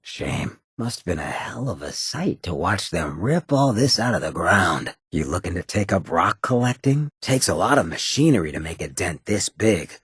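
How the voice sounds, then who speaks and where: An actor playing a roguish, wry ghoul in a video game, with a raspy, gravelly voice and streetwise drawl. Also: male voice, low voice, no echo, studio quality, exaggerated voice. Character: roguish, wry male ghoul mayor, chem-loving companion. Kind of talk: video game companion dialogue.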